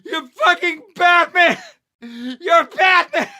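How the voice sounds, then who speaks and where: An actor playing a frantic, defiant criminal thug in a video game, blurting and pleading in a strained, gravelly voice. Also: male voice, extremely high pitch, takes it slowly, sharp tone, exaggerated voice. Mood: panicked defiance. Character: panicky, defiant, foul-mouthed male criminal thug.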